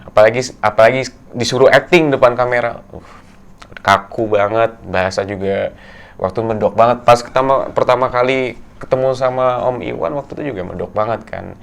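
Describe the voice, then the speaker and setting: A young man from Tegal, a guest in a casual interview, talking in a soft, halting way with many fillers. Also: medium pitch, creaky. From Tegal, Central Java, Indonesia. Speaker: guest actor.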